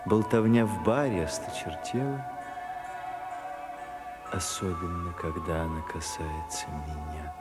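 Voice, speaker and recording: Radio drama role: languid, melancholy aesthete with dry irony, deepish voice, drawn-out deliberate phrasing, music behind. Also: male voice. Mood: languid, ironic. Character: Oscar Wilde, weary, witty, melancholy aesthete. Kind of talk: slow theatrical radio drama acting.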